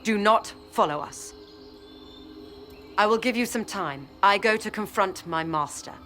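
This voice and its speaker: An actress playing a proud, idealistic mage lieutenant in a video game cutscene, speaking formally and grandly. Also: female voice, medium pitch, unhurried, a bit bright, faint music behind, lightly acted. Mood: grave, resolute.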